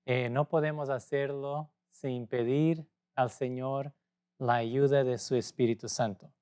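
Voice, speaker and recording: A man from Portugal with a Portuguese accent, a native Portuguese speaker, preaching a sermon as a pastor in a measured way with pauses. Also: mid-range voice, fairly even intonation, a little mellow, clean tone. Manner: measured, pausing, speaking a second language.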